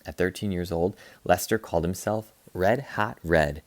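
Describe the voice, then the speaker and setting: A man reading a children's picture book aloud as a teacher, with animated, dramatic emphasis. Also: low-pitched, moderate pace, no echo. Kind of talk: expressive children's read-aloud narration.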